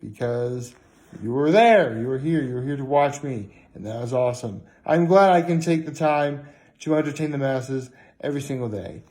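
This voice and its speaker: A tired man giving a casual, rambling vlog monologue, haltingly circling back and repeating himself. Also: medium pitch, lively intonation, darkish tone. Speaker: daily vlogger.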